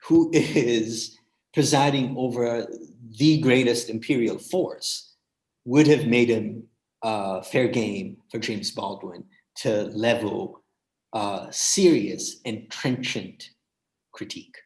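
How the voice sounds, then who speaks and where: A man's voice: mid-range voice, slow pace, a little mellow, echoey room, rough recording. A man speaking as a guest scholar in a panel talk, making emphatic, thoughtful points and often checking them with a short tag question.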